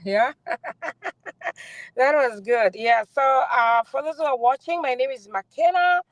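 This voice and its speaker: A woman with a Kenyan accent chats casually to a group. Her voice is a little high, and the sound is dry and close, recorded on a phone inside a parked car. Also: unhurried, no room sound, lo-fi sound. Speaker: participant in a group online meeting. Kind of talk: casual introduction and chat.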